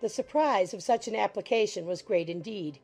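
A woman reads a classic novel aloud in a mellow, darker voice, with even and composed pacing. Gentle music plays faintly beneath the narration. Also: mid-range voice, normal speed. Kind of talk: scripted audiobook narration.

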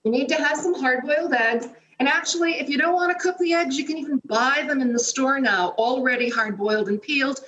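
A woman's voice: high voice, fairly quick, few pauses, a bit bright. A middle-aged woman giving a chatty, step-by-step cooking demonstration from her home kitchen over a remote video feed. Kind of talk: cooking demonstration on a morning show. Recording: roomy kitchen echo, faint noise.

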